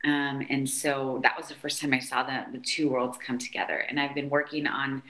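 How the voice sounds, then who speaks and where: A woman in her forties with a strikingly deep voice for a woman, speaking as a guest author. Born in Argentina and raised in California, she talks in an open, chatty way, often pausing with ums. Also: flat delivery, bright, forceful tone, rough recording. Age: 40s. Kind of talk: casual interview conversation.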